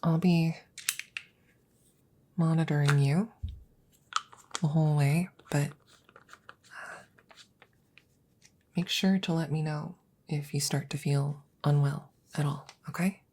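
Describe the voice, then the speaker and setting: A woman playing a worried, tired scientist caring for her best friend in an intimate ASMR roleplay, breathy and often near a whisper, hesitant and reassuring. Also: low voice, steady tone, slow pace, long pauses, mellow, slight background noise. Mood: quiet, focused.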